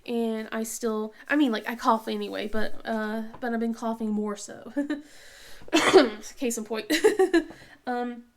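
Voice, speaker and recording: A woman chatting casually as she works on a craft project, halting with many ums and restarts, sniffly from a cold. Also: a little high, fairly even intonation. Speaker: diamond painting vlogger. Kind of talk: casual chat while crafting.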